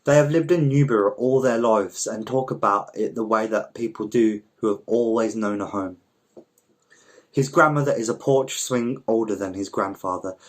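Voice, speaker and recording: A man with a fairly low voice narrates a short story. His delivery is measured and literary, like an amateur reading aloud from the page with the odd small stumble. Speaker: volunteer story reader.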